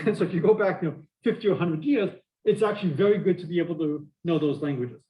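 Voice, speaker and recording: A middle-aged man with a Boston accent giving a university math lecture, lively and full of asides and questions to the class. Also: a little high, quick pace, muffled recording. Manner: energetic, prone to asides and questions to students. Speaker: math professor.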